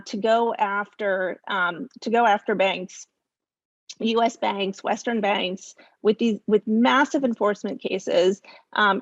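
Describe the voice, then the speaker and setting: A woman speaking off the cuff as a policy expert, halting and emphatic with repeated words. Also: medium pitch, dry recording, muffled recording. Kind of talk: spontaneous panel commentary.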